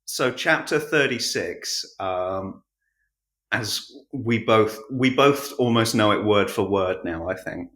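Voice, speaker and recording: Male interview guest, audiobook narrator. Slightly deep voice, casual storytelling delivery, noticeably roomy recording. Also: lively intonation, slow, a bit bright. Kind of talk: casual interview, recounting his work. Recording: roomy, echoing sound.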